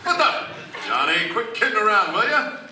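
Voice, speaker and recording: A man's voice: high voice, big pitch swings, moderate pace, sharp tone, heavily acted. A man playing a cocky, easily exasperated ace newspaper reporter, theatrical and slangy, in a comic old-time radio play. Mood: indignant. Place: convention stage, recorded from the audience. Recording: distant camera, roomy hall, faint noise.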